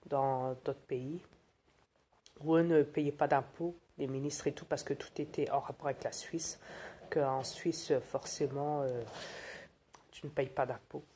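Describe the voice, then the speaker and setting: A woman tells a story in a casual, animated and colloquial way, with many fillers. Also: deep voice, a little hiss or hum.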